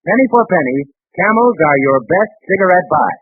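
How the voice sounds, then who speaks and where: An American man announcing a scripted 1940s radio advertisement, emphatic, deliberate and persuasive. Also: high voice, lively intonation, breathy edge, no echo. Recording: tinny 1940s radio broadcast recording.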